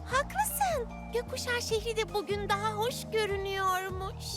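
A woman voicing a cheerful, eager young girl adventurer in an upbeat, expressive children's cartoon dub. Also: very high-pitched, a little slow. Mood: delighted. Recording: loud music behind the voice.

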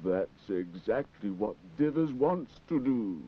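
Slow, bossy puppet penguin. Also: male voice, lowish voice, expressive, breathy edge, exaggerated voice. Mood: pleading, helpful. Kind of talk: 1960s children's puppet show acting. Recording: muffled 1960s TV soundtrack with music.

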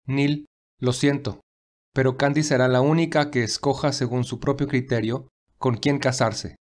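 Casting: male actor as wealthy young head of family, mid-pitched, firm and gallant, audio drama. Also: steady tone, normal speed, lightly acted. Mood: calm, decisive. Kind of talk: audio story dialogue. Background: classical music.

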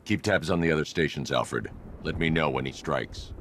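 An actor playing a grim masked vigilante in a video game, gruff, terse and commanding. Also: male voice, normal speed. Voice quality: very deep, gruff. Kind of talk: video game hero acting. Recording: loud noise behind the voice.

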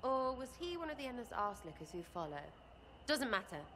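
A cheeky young elf archer from a fantasy game, with an English accent. Her voice is high and swoops about as she rambles in blunt, impish slang. Faint noise and ambience sit behind her. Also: very animated, a little fast, a bit bright. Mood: brash, mocking. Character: brash, cheeky young elf woman archer, irreverent rebel. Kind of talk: video game character acting.

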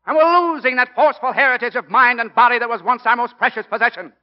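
A middle-aged American football coach in a 1940s radio drama. His voice is high for a man, and he speaks with earnest, plain-spoken oratorical force. The recording is muffled, as old broadcasts are. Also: fast. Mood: impassioned. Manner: emphatic, oratorical.

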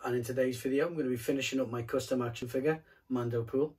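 A young man with a sore throat, quietly talking through how he customizes an action figure. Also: medium pitch, fairly even intonation, fast, slightly rough. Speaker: toy customizer host. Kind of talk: casual tutorial narration.

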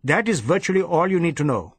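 A man's voice: fairly high pitch, big pitch swings, a little fast, husky, no room sound, voice pushed up, exaggerated voice. A man voicing an irascible, overbearing senior Indian police chief who snaps and repeats himself impatiently, in an audiobook. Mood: stern, commanding. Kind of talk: audiobook character acting.

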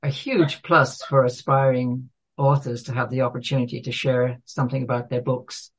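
A man's voice: medium pitch, little pitch movement, moderate pace, slightly rough. An older man from South Wales with a British accent, talking politely and a little hesitantly as an author interviewed on a podcast. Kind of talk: unhurried interview talk.

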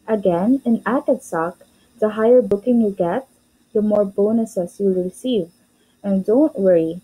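A woman's voice: mid-range voice, dark tone, husky, rough recording. A woman who works as an online English tutor, reading a scripted tutorial and self-introduction in a careful, presentational way.

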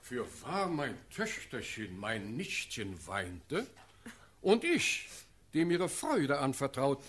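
An actor playing an older, indulgent ruling prince in a classic verse radio drama, with husky, deliberate, affectionate delivery. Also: male voice, medium pitch, wildly swooping pitch, a bit bright, a little hiss or hum, full character voice. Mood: tender, amused.

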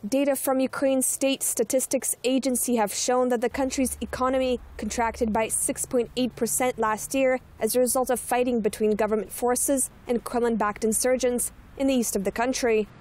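A woman reading a scripted news report as a steady voice-over. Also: on the high side, moderate pace, few pauses. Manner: steady, even read.

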